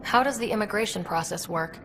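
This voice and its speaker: A woman's voice: medium pitch, brisk, lightly acted. A woman playing a wry, plainspoken young space explorer in a video game, talking in a casual, direct way. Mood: curious, direct. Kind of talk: naturalistic video game acting.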